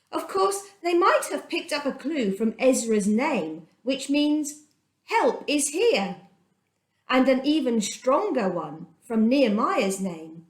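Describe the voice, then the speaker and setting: Expressive woman storyteller, unhurried, lively pitch. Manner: dramatic, deliberate phrasing with emphasis. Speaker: children's storyteller. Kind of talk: expressive read-aloud of a children's Bible story. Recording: echoey room.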